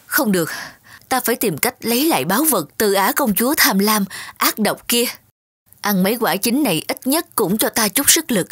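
A woman's voice: fairly high pitch, lively intonation, moderate pace, natural voice. A woman voicing an earnest, trusting young king with a courtly manner in a children's fairy-tale cartoon. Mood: determined.

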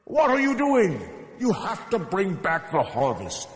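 A blustering king of the Greek gods, acted by a man for a children's story. He declaims in a high, widely swinging voice with a reverberant ring. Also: huge pitch swings, measured pace, heavily acted. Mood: blustering, exasperated. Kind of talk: dramatized children's myth storytelling. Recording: echoey reverberant voice effect.

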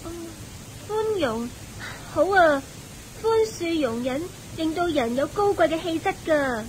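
An actress playing an eager, respectful young celestial maiden in a Hong Kong Cantonese radio drama, earnest and inquisitive. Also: female voice, lively intonation, unhurried, low-quality recording. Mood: pleased. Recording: hissy, rumbly old radio recording.

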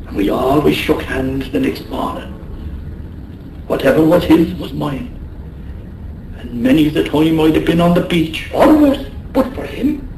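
A loyal Irish seaman in a radio drama. His voice sits fairly high and his phrasing is colourful and heartfelt. The recording is roomy. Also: darkish tone. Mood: tender, loyal.

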